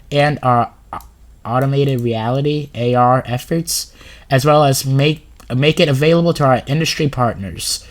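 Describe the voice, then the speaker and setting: A man with a sore throat and a runny nose giving casual gaming commentary over a screen recording, reading a blog post aloud and rambling with fillers and restarts. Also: mid-range voice.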